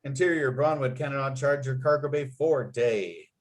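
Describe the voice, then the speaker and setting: A man's voice: medium pitch, normal speed. A man reading script stage directions aloud as narrator, with clipped, dramatic scene headings. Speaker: narrator and writer.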